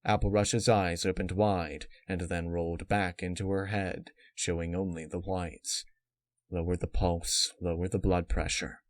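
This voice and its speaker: A man reading scripted dark fan fiction as narrator, in a measured, dramatic way. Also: deep voice, flat delivery, no echo. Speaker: story narrator.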